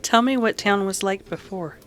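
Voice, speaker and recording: An actor voicing a gentle, concerned young woman in a radio western, with a soft, plaintive manner. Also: mid-range voice, normal speed, a little hiss or hum, natural voice. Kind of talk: old-time radio western acting.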